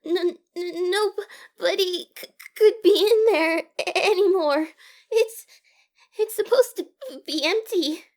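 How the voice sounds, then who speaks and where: A woman's voice: takes it slowly, darkish tone. A woman playing a timid, anxious female monster scientist who stammers nervously in an audio drama. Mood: panicked. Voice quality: high, girlish voice.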